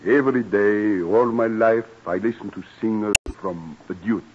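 An actor playing an old, courtly European singing maestro in a radio drama, speaking in formal, measured phrases. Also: male voice, on the low side, a little hiss or hum, close to an everyday voice. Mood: admiring, solemn. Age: older. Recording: muffled vintage radio broadcast.

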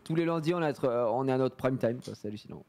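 A young man streaming a football video game, chatting fast and excitably with friends over voice chat from his room. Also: medium pitch. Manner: excitable, quick banter, frequent laughter. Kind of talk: casual gaming chat over voice call.

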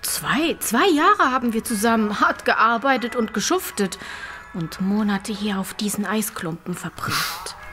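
An actress playing a caring, serious station doctor in an audio drama. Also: female voice, medium pitch, a little slow, bright, forceful tone, faint music behind. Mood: regretful.

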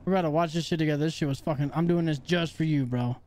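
A man's voice: fairly high pitch, racing, darkish tone. Slangy young man streaming to chat. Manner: crude, slangy, talks to chat. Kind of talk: casual livestream chat.

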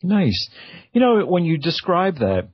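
A man's voice: a little high, wildly swooping pitch, a little slow, breathy edge, dry recording. A man from the United States hosting a radio show, introducing and interviewing a guest. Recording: slightly dull, lo-fi audio.

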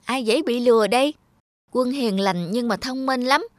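Woman as a high-pitched mischievous little boy. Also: moderate pace, no echo, studio quality, natural voice. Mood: scheming. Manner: expressive, childlike. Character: mischievous little boy prankster who turns remorseful. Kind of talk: cartoon dubbing. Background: music.

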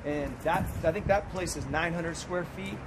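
A man in his forties tells stories of his first gyms while walking outside. He is animated and swears freely, and his voice sits a bit high for a man. Heavy outdoor noise and passing traffic surround him. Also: normal speed. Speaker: gym owner and host. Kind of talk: casual walking tour chat. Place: outdoors on a street and in a gym. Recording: loud background noise outdoors.

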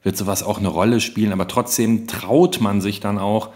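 A man's voice: on the low side, steady tone, normal speed, a little mellow. A man co-hosting a podcast in a casual discussion. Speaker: podcast co-host.

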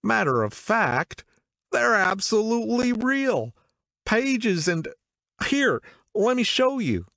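A man voicing an excitable, tipsy middle-aged man who insists over and over, in a much higher voice than his own. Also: slow pace, vocal fry, no room sound, studio quality, exaggerated voice. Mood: excited insistent.

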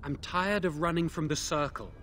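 An actor playing a remorseful, timid imprisoned mage in a video game, speaking in a hesitant, earnest way. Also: male voice, fairly high pitch, moderate pace, fairly bright tone, a little hiss or hum, close to an everyday voice. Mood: remorseful, pleading.

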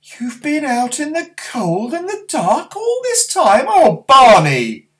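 A man voicing a fretful old grandmother in a storybook reading, with a high, fussing voice. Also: wildly swooping pitch, takes it slowly, fairly bright tone, full character voice.

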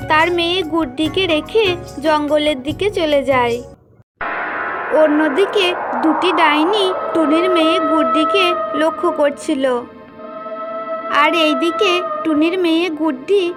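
A woman's voice: sits high, unhurried, pauses often, over loud music. A woman storyteller reading scripted narration for children's cartoon tales.

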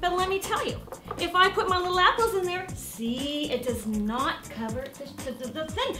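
A woman's voice: very high-pitched, normal speed, roomy sound, distant mic, music in the background. A woman hosting a cooking show, showing a guest how to cook in her home kitchen, chatty and playful, laughing often and adding sound effects. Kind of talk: casual cooking demonstration.

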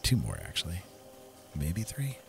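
An easygoing man with a low voice commentates casually on a game. He muses to himself as he plays, with prominent game music running behind him. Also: wildly swooping pitch, unhurried, creaky. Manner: easygoing, musing, talks to himself. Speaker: gameplay host. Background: game music and sounds.

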